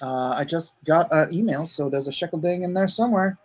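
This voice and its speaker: A man's voice: a little high, fairly quick, a little mellow. A man hosting a casual livestream, rambling and easygoing as he thinks aloud. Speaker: livestream host and comic writer.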